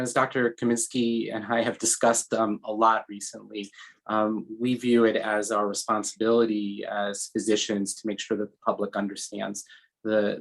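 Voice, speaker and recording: A man in his fifties from New York, a physician, answering interview questions in a measured way. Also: fairly low pitch, creaky, poor audio. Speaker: physician guest.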